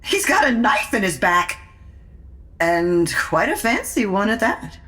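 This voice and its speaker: A woman's voice: medium pitch, big pitch swings, a little slow, fairly bright tone. An actress playing a haughty, unflappable society woman in an audio drama, with an arch, dry, sardonic way of speaking. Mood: dryly amused.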